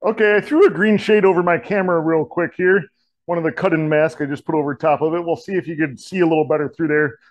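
A man in his forties gives a hands-on welding lesson in a school metal shop, talking in a relaxed, folksy way full of little fillers. His voice sits a bit high for a man. The sound is dry and close, with little room echo. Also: fast. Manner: relaxed, folksy, many "kinda" and "you know" fillers. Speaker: diesel technology teacher.

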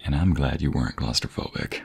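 A man playing an affectionate, flirty husband in an intimate ASMR roleplay, with a breathy, husky, gravelly voice that often drops to a half-whisper. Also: rumbling low, fairly quick, a little hiss or hum, exaggerated voice. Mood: playful teasing.

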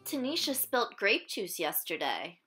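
A woman reading a children's picture book aloud in an expressive, deliberate way, phrasing it for young listeners. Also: medium pitch, over loud music. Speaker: storybook reader.

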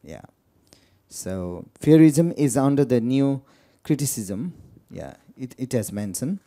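A man's voice: medium pitch, expressive, slow pace, dark tone. A man with a Nepali accent and Nepali as his first language, moderating a book talk and introducing the speaker with many fillers and restarts. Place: lecture room.

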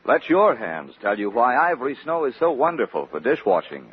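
A man's voice: medium pitch, very animated, fairly quick, husky. An American man reading a scripted 1940s radio advertisement as a commercial announcer. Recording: dull old radio recording.

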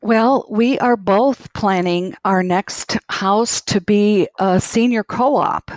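A woman's voice: mid-range voice, takes it slowly, no echo, poor audio. A woman in her sixties with a Minnesota accent calling in to an advice show, plainspoken and a little anxious. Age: 60s. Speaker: caller. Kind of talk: phone-in conversation.

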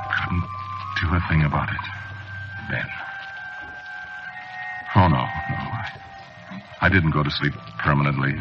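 1940s radio drama: a haunted man going mad, lowish voice, halting confessional delivery. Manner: halting, confessional first-person telling. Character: haunted middle-aged man sliding into madness. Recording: dull old radio broadcast with music.

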